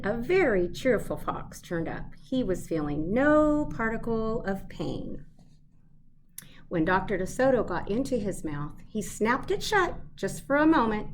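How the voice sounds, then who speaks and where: A woman giving an expressive read-aloud of a children's storybook. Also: medium pitch, slight background noise.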